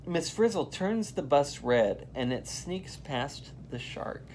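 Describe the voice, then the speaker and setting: A man reading a children's picture book aloud as a volunteer, in an expressive, emphatic way. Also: a little high, takes it slowly, a little hiss or hum, rough recording. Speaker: volunteer read-aloud reader.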